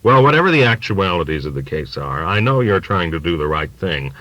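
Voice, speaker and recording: An old working-class father , acted out by a male audiobook reader, talks in a forceful, folksy ramble. He is bigoted and confiding, leaning hard on his points in a sharp-edged voice. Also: low voice, very animated, a little fast, no echo, exaggerated voice, low-quality recording. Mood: confiding, agitated. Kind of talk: audiobook character dialogue.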